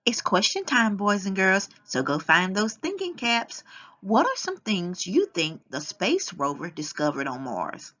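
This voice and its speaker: A woman reading a nonfiction book aloud to children in a deliberate, instructive way. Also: medium pitch, wildly swooping pitch, sharp tone. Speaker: volunteer children's book reader. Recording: dull, lo-fi sound.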